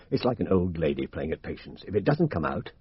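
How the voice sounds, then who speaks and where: An actor playing a blunt, down-to-earth British police inspector in a radio mystery, speaking colloquially and with stubborn confidence. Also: male voice, deep voice, very animated, brisk, breathy edge, no echo, full character voice. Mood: wryly baffled. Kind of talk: radio drama acting. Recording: dull, dry radio drama recording.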